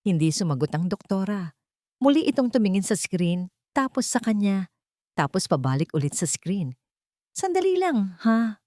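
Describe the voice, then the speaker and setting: A woman reads scripted romantic drama as a storyteller. Her voice sits a little low but moves expressively up and down. The recording is dry, with no room sound. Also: expressive, normal speed, darkish tone, no echo.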